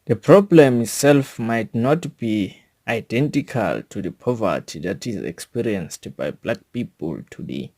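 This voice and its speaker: A South African man reading a scripted educational monologue in a deliberate, formal manner, as a podcast host. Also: lowish voice, lively intonation, darkish tone.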